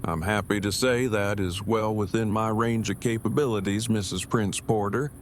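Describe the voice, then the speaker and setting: Actor as devoted man, verbose, precise formal phrasing, lowish pitch, audio drama, faint noise. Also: steady tone, moderate pace, natural voice. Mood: tender. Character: devoted, formal, wordy gentle man, a romantic partner.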